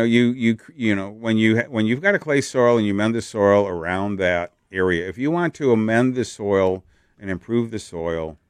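A man from Wisconsin with a Wisconsin accent, giving casual garden advice in a halting way, with many restarts and repeated words. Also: deep voice, clean tone, no room sound, studio quality. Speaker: garden show co-host. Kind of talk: casual radio advice chat.

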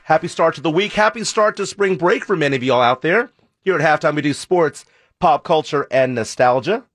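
A man hosting a sports radio show, chatty and upbeat, his voice scratchy and hoarse from an illness. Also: on the high side, lively intonation, fairly bright tone, soft background music. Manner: upbeat, chatty, quick banter. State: hoarse, ill. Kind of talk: casual sports radio talk. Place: radio studio.

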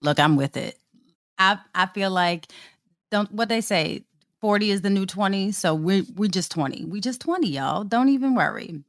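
A woman's voice: mid-range voice, lively intonation, moderate pace. A woman in her late thirties co-hosting a podcast, upbeat and affirming, repeating phrases for emphasis. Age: late 30s. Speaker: podcast co-host. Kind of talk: casual chat.

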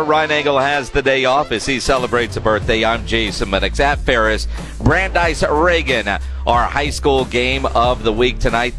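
A man's voice: a little high, a bit bright. A man hosting a live sports talk radio show, interviewing a guest in a conversational way. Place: broadcast booth at a stadium.

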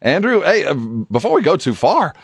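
A man's voice: on the high side, very animated, normal speed, slightly rough, dry recording, studio quality. A man hosting a sports talk radio show, talking to a guest and to listeners.

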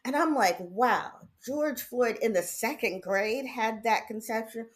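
Articulate middle-aged woman judge. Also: on the high side. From Indiana, raised in Connecticut. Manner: articulate, measured, chatty with laughs. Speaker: judge, guest. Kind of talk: casual interview, storytelling.

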